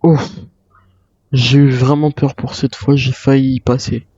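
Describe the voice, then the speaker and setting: Man, mellow voice, wary survivor role-play, video game, muffled roomy recording. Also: medium pitch, flat delivery, unhurried, breathy edge. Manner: speaks in character as a wary survivor. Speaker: player role-playing a zombie apocalypse survivor. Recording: muffled lo-fi mic, roomy echo.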